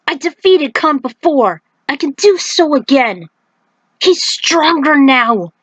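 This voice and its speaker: Woman voicing a grave, weakening thunder god, high-pitched. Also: no echo, exaggerated voice, rough recording.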